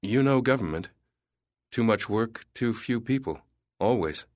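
A polite, plain-spoken government visitor, a little awed, as the male narrator voices him. His voice is low and dry, close to the narrator's own. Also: expressive, unhurried, a little mellow, no echo.

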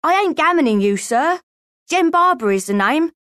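A woman's voice: sits high, lively intonation, normal speed, a bit bright, dry recording, clean studio sound, voice pushed up. A woman voicing a scruffy, eager young street boy in a storybook, pleading in slangy street talk.

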